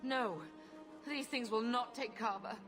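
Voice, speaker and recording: A woman's voice: on the high side, a little slow, music in the background, natural voice. An actress voicing a middle-aged mother grieving her son, with an anguished, accusing manner.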